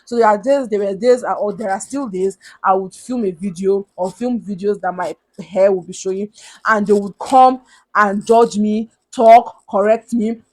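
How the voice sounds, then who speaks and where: Loud-voiced young Nigerian woman giving advice. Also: medium pitch, moderate pace. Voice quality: loud, big voice. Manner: earnest, emphatic, repeats points for stress. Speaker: vlogger. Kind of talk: motivational advice talk to camera.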